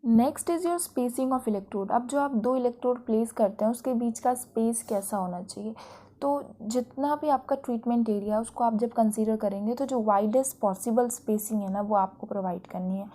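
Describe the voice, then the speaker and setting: A woman's voice: on the high side, warm tone. A woman with an Indian accent giving an explanatory lecture in a didactic way, often checking that listeners follow. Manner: didactic, with frequent check-in tags. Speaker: physiotherapy lecturer.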